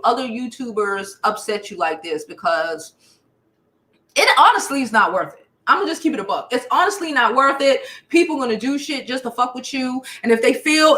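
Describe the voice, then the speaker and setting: An American woman chats to her live viewers in a high-pitched voice, recorded with noticeable room echo. Her delivery is forceful and bright, full of slang. She comes across as a blunt, sassy commentator talking casually through gossip. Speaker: YouTube livestream commentator and host.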